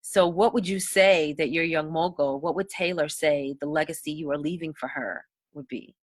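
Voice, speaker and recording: A woman's voice: deep voice, dry recording. A woman in her thirties hosting an interview, effusive and full of thanks. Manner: effusive and grateful, quick laughs. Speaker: interview host. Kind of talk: warm conversational interview.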